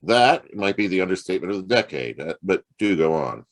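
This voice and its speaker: A man's voice: low voice, big pitch swings, a little fast. An American man playing a wry, sardonic hard-boiled private detective in a noir audio drama. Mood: sardonic, cool. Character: hard-boiled, wisecracking male private detective, narrating his case.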